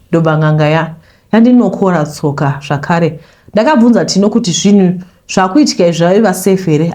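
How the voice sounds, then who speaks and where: A Zimbabwean woman reading a listener's confession aloud and commenting on it, mixing Shona and English in an animated way. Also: fairly low pitch.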